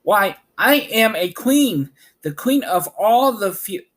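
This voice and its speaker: A man voicing a tiny, proud field mouse queen in a squeaky storybook voice. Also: lively intonation, slow pace, fairly bright tone, heavily acted. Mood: indignant.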